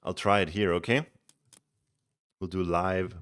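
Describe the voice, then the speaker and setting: A Danish-accented man with a deep voice talks loosely through a live stream, explaining strategy as he plays. He is relaxed and chatty and often restarts his words. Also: a little slow, smooth. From Denmark. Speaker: streamer and game coach. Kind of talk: casual live-stream chat and game commentary.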